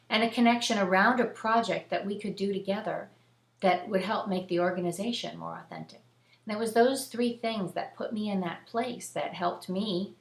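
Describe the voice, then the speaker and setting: A woman's voice: fairly low pitch, a bit bright. A woman hosting and telling a personal story in a conversational, earnest, emphatic way. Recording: echoey room.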